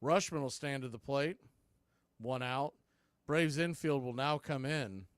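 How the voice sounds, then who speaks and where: A man's voice: medium pitch, unhurried, clean tone, no room sound, clean studio sound. A man giving live baseball play-by-play commentary as an announcer, in clipped phrases that swell with the action. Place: indoors, calling the game from a video feed.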